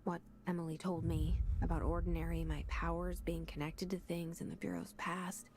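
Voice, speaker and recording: A woman's voice: lowish voice, level tone, normal speed, darkish tone, natural voice. A woman voicing a terse, determined young heroine. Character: determined young woman hunting for her lost brother. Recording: game audio with clear noise.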